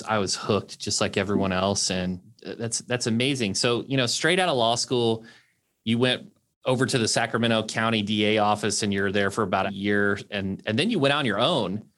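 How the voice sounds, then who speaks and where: A man's voice: on the low side, fairly even intonation, brisk, fairly bright tone. A man hosting a podcast, moving between scripted narration and interview talk. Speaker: podcast host.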